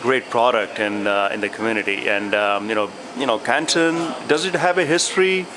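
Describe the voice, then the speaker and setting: A man interviewing a guest in a store, asking questions and answering with quick okays. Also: medium pitch, a crowd in the background. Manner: quick, agreeable backchannel with frequent okays. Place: liquor store. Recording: noisy store with air conditioning hum.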